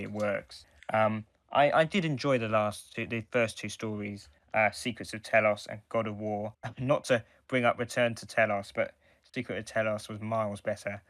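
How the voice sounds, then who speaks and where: A man with a fairly low voice talks casually as a podcast co-host. He gives his reviews haltingly, often circling back mid-sentence. The sound is dry, with no room echo. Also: steady tone, a bit bright.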